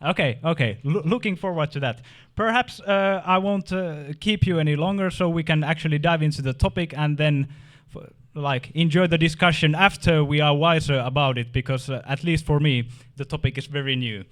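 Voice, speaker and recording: A man's voice: fairly high pitch, brisk, a bit bright. A man hosting a game industry meetup, introducing the speaker with many restarts and fillers. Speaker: meetup host.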